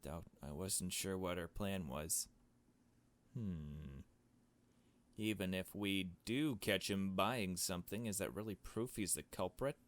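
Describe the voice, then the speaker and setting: A man's voice: low voice, slow pace. A man doing a let's play, reading a visual novel aloud and adding casual, blunt asides. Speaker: gaming video host.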